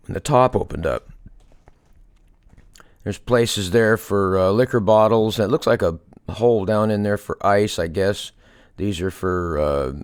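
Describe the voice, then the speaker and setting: A man with a fairly low voice and a crackly, fry-laden edge talks through a project as a hobbyist. His delivery is unscripted and casual, a little hesitant as he works things out aloud. The sound is dry, with very little room in it. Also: no echo. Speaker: hobbyist restorer presenting a project. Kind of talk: casual unscripted show-and-tell narration.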